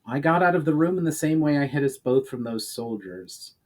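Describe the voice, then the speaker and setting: A man voicing a shrewd male elf in a storybook reading, measured, formal and self-assured. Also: fairly high pitch, lively intonation, darkish tone, pitched above the performer's own voice. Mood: calm, explaining.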